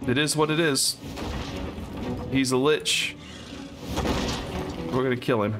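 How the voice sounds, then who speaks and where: A man's voice: medium pitch, steady tone, takes it slowly. A man casually commenting on his co-op game as he plays, musing aloud and laughing often. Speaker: let's play host. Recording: game music behind voice.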